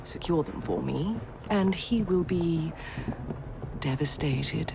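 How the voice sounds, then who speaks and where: A woman's voice: deep voice, takes it slowly, gravelly, noisy background, exaggerated voice. An actress playing a shrewd, refined Victorian businesswoman in a game cutscene, with poised, polished diction. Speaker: businesswoman Pearl Attaway.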